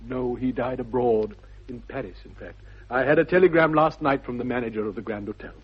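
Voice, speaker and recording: A dull vintage radio recording of a man acting a well-bred English gentleman. His voice is very breathy and husky, mid-pitched, with formal, polite phrasing. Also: brisk, lightly acted. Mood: mock mournful. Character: well-bred young English gentleman feigning mournful gravity. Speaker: actor in a radio play. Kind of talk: 1960s British radio comedy acting.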